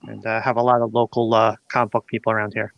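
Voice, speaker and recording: A man from New York State, a guest artist on a live stream, talking easily with many pauses. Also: fairly low pitch, little pitch movement, gravelly, dry recording. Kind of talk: casual live-stream chat. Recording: dull lo-fi audio, dry.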